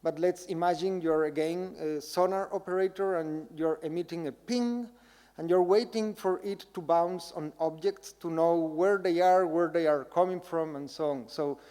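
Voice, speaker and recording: A man's voice: sits high, a little slow. A man giving an informal public lecture in a hall, talking directly to his audience and inviting them to take part. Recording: reverberant hall with loud background noise.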